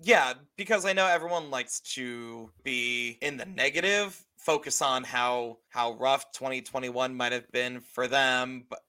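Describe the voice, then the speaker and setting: A man around thirty with a bright, forward voice at a medium pitch. He co-hosts a podcast in a loose, chatty way, swears casually and acts out what he was thinking as he goes. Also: measured pace, hardly any pauses, smooth. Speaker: podcast co-host. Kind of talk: casual chat.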